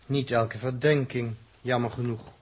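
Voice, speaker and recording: A man's voice: medium pitch, fairly even intonation, a little slow, husky. An actor playing a touchy, sceptical spaceship crewman in a 1960s Dutch radio drama, with an edgy, defensive manner. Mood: sceptical, tense.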